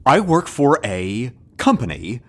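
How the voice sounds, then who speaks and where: An actor playing a disguised TV star turned secret operative, defensive and earnest, in a comedic audio drama. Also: male voice, medium pitch, big pitch swings, slow, a bit bright, full character voice. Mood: earnest confessing.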